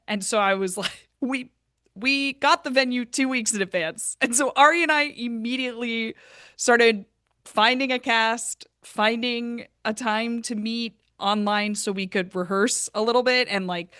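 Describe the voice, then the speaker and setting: A woman's voice: fairly high pitch, a bit bright, clear, resonant tone, dry recording, clean studio sound. A woman chatting casually as an interview guest who produces live shows. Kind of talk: casual chat.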